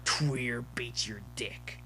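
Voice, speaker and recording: Drunk, hot-tempered young pony bully for an audio story, man performing, shouty and menacing, slightly noisy home recording. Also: medium pitch, steady tone, very slow, exaggerated voice. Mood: threatening. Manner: shouting, threatening. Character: angry drunk male pony bully, belligerent college colt.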